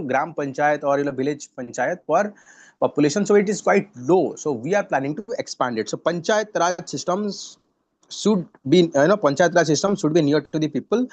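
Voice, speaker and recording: A man's voice: a little high, no echo. A man with an Indian English accent teaching an online exam-prep class on current affairs, often repeating himself and saying "you know". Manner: repeats phrases, fillers like "you know", mixes in Hindi.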